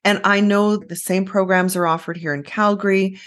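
A woman's voice: mid-range voice, steady tone, moderate pace, fairly bright tone. A woman co-hosting a podcast, giving direct, emphatic hiring advice with confidence. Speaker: podcast co-host, recruiting expert. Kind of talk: casual conversation about recruiting.

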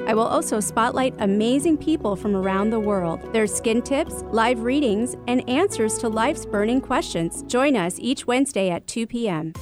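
A woman reads a scripted radio promo as a show host. Her voice is on the high side, set over a prominent music bed.

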